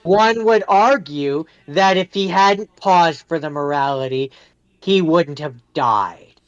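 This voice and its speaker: A smooth-voiced man with a slightly high pitch chats casually about films as a podcast co-host. The sound is dry and a little dull. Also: slow, no room sound. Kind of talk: casual movie discussion.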